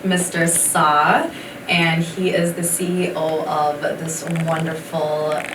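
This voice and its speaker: A woman's voice: sits low, flat delivery, takes it slowly. A woman hosting a casual podcast interview, asking questions eagerly. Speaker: podcast host. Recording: roomy, distant mic with background noise.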